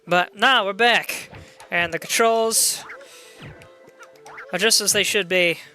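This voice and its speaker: A young adult game streamer with a loud voice, chatting casually and excitably while playing and greeting viewers. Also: medium pitch, slow, soft background music. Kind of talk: casual live gameplay chat.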